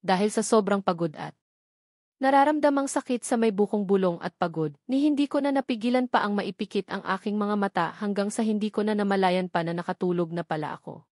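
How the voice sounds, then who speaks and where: A woman's voice: medium pitch, fairly quick, darkish tone, no echo. Woman narrating a romance novel, dry sound. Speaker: story narrator. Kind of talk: scripted first-person romance novel narration.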